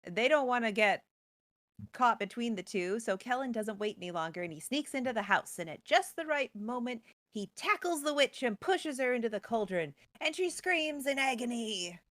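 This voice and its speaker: A woman's voice: fairly high pitch, animated, moderate pace, fairly bright tone, no room sound. A woman co-hosting as a storyteller, retelling a tale in a casual, playful style. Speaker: co-host and storyteller.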